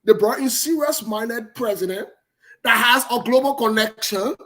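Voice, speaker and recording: A man's voice: very high-pitched, normal speed, sharp tone. Emphatic man in political talk. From Liberia. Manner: emphatic, repeats words for stress. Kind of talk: political discussion.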